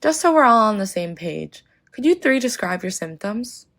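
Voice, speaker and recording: A woman's voice: medium pitch, big pitch swings, normal speed, gravelly, fairly bright tone, full character voice. A teenage girl voicing a female doctor who addresses her patients, reading her lines plainly. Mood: friendly.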